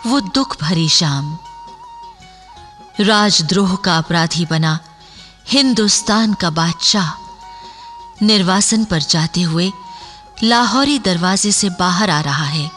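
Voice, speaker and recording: Slow, solemn woman radio narrator. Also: on the low side, fairly bright tone. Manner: measured, solemn, formal. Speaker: announcer and narrator. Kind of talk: scripted dramatic radio narration. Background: music.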